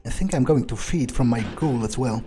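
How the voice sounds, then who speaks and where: A man's voice: mid-range voice, brisk, noisy background, natural voice. A man playing a cocky, crude vampire who relishes violence, with a hesitant, swearing drawl, in a roleplayed video game. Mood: self-satisfied.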